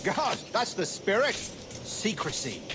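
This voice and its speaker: A man's voice: sits high, sing-song, slow pace, heavy background noise, full character voice. An actor playing a composed, pompous male naval captain, clipped, genteel and self-assured. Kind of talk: video game cutscene acting.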